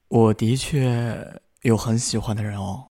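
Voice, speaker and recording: A man's voice: mid-range voice, mellow, husky. A man playing a young boyfriend in a romantic radio drama, speaking tenderly as he courts his sweetheart. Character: young boyfriend gently confessing his love.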